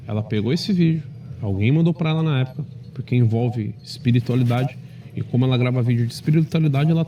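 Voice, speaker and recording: A man's voice: medium pitch, dark tone. A man in his thirties, a businessman, speaking in an assertive, slangy and defensive way in a casual monologue to camera. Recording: distant mic with faint vehicle noise.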